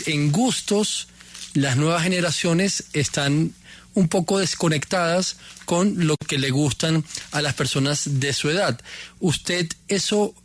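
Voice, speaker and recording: A man co-hosting a radio interview, asking a measured, polite question. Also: fairly high pitch. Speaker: radio co-host.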